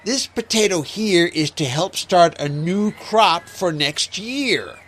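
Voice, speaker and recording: A man's voice: high-pitched, lively intonation, slow, fairly bright tone, full character voice. A man playing a defensive, haughty rival potato grower in a comic audio drama.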